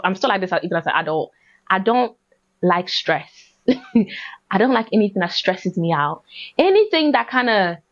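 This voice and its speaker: A young British woman speaks with a mid-pitched voice as a podcast host. She tells personal stories casually and conversationally, repeating phrases for emphasis. The audio is slightly dull and lo-fi. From born in Ghana, raised in the UK from age six.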